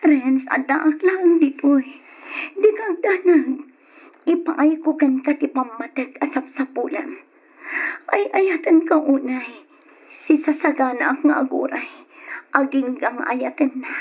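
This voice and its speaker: A woman's voice: high-pitched, takes it slowly. A woman playing a lovesick, possessive young woman in an old-style Ilocano radio drama, pleading melodramatically with an Ilocano accent. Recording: muffled, noisy radio drama recording.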